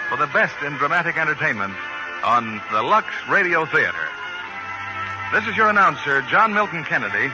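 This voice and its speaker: 1940s radio announcer man over loud music. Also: fairly high pitch, dull audio. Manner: formal, measured read. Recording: vintage 1940s radio broadcast.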